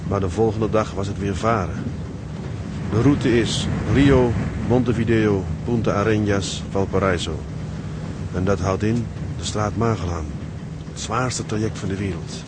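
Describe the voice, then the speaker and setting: An actor playing an earnest, weary young Dutch ship's officer in a radio drama. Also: male voice, lowish voice, a little slow, natural voice. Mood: reflective, chatty. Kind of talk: radio drama acting with letter narration. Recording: very noisy, stormy ship sound effects.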